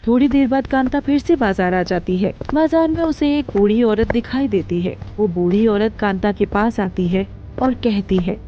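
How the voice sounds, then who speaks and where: A woman narrating a children's cartoon moral story in a steady storytelling way. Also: medium pitch, normal speed, hardly any pauses, dull audio, a little hiss or hum.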